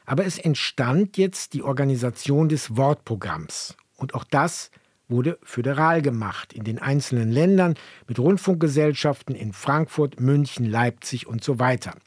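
A man co-hosting a history program, explaining in a measured way. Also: mid-range voice, lively intonation, a little mellow, slightly rough, dry recording, clean studio sound. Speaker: co-host of a history podcast. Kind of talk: explanatory radio history narration.

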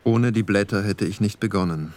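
An actor playing a reflective photographer in a radio play, narrating in a measured, contemplative monologue. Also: male voice, fairly low pitch, a little mellow, close to an everyday voice. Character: reflective male photographer narrating his own searching wanderings.

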